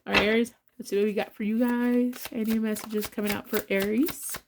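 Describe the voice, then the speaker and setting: A woman's voice: on the high side, steady tone, a little fast, vocal fry, background noise. A congested woman giving a casual tarot reading, talking bluntly with rambling asides and swearing freely. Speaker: tarot reader.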